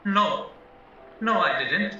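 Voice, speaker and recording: A man's voice: sits high, expressive, slow, bright, forceful tone, full character voice. A man acting a frank, flustered young married murder suspect in an audio play, hesitant and earnest as he protests. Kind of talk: stage-style courtroom audio drama acting. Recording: echoey, distant, dull, music behind.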